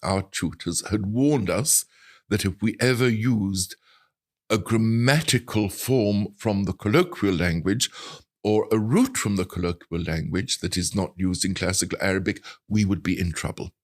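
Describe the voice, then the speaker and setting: An older man of about seventy with an English accent, telling anecdotes in a reflective, articulate and measured way as an interview guest. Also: on the low side. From England. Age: older, around 70. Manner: articulate, measured storytelling with precise wording. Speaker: interview guest, translator and writer.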